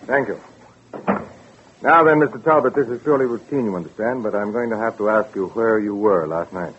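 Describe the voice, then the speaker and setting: Probing, businesslike police lieutenant in vintage radio drama; low voice, tinny narrow-band sound. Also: male voice, a little fast, husky. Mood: dry, businesslike. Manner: terse, probing, official. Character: dry, businesslike male homicide police lieutenant. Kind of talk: 1940s radio drama acting.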